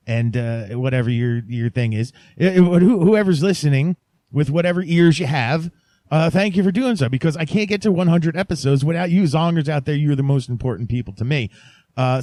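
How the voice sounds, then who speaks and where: A man's voice: fairly high pitch, darkish tone, dry recording. A man hosting a podcast, talking casually to his listeners in a chatty, playfully emphatic way. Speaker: podcast host.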